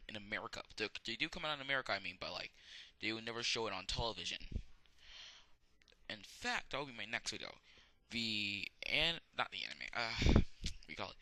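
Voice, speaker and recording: A man's voice: on the low side, bright, forceful tone, no room sound, rough recording. Rambling young man with a sore throat. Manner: halting, many fillers and restarts. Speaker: amateur video reviewer. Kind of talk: rambling casual movie review.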